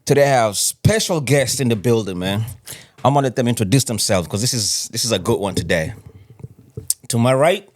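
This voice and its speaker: A man's voice: mid-range voice, expressive, a little fast. A man hosting a podcast in a loose, slangy way, repeating himself and often saying "man". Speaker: podcast host. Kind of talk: casual podcast chat.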